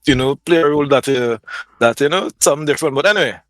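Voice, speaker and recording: A man co-hosting a podcast, chatting casually and haltingly with plenty of "you know". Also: on the high side, a bit bright, dry recording, studio quality. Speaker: podcast co-host. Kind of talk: casual chat.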